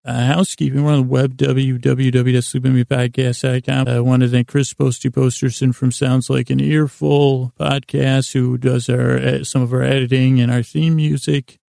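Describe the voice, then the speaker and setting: A man hosting a bedtime podcast in a meandering, halting monologue, self-deprecating and silly, repeating and playing with words. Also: medium pitch, little pitch movement, gravelly, mellow, dry recording, clean studio sound. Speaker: podcast host.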